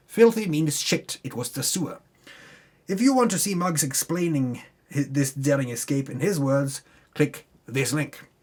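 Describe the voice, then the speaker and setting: A man giving a theatrical scripted recap of a tabletop game campaign, dropping into sweary, self-mocking asides. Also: on the high side. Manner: dramatic, sweary, breaks off to mock own slips.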